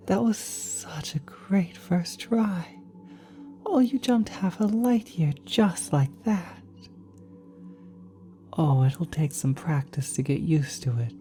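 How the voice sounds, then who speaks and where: A woman voicing a kind, nurturing celestial being in a soft, breathy, often whispered ASMR roleplay, gently reassuring the listener. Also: mid-range voice. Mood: delighted, proud. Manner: gentle, reassuring, hesitant, coaxing. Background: spacey ambient music.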